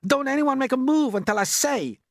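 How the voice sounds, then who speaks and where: A man voicing a panicky, excitable head of a fire department in a storybook, yelping with stretched-out, emphatic words. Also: sits high, unhurried, no room sound, clean studio sound, voice pushed up, heavily acted. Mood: tense.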